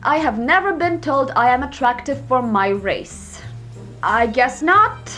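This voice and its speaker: A woman's voice: high voice, animated, a little slow, a bit bright, faint music behind. A young woman vlogging as she rants sarcastically and profanely through online quizzes. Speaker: YouTuber. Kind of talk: reacting to online quizzes to camera.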